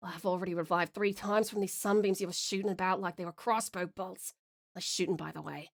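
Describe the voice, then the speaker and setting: A woman's voice: lowish voice, fairly even intonation, fairly quick, vocal fry, pitched below the performer's own voice. Woman putting on a weary, dying Scottish warrior woman. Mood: exasperated, wry. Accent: attempted Scottish. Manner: archaic dialect, weary and blunt. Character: dying battle-weary woman, wry, resigned, tender to her lover.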